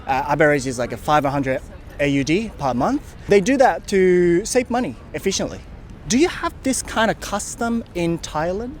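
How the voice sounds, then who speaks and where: A Japanese-accented man with a fairly high voice chats with passers-by on a city street, friendly and quick to laugh. He speaks into a handheld mic, with faint street noise and passing traffic behind him. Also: expressive. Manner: chatty, friendly, laughing often. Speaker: street interviewer, channel host. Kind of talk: casual street interview.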